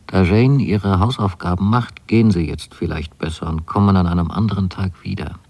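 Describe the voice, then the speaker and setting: A man's voice: sits low, moderate pace, darkish tone, no echo. An actor voicing a prim, firm upper-class lady in a radio play, politely cutting in manner. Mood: coolly polite. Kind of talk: literary radio drama.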